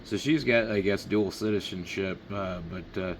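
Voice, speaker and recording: A man's voice: low-pitched, moderate pace, slight background noise. A middle-aged man from Washington State chatting casually as a ham radio operator. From Washington State, United States.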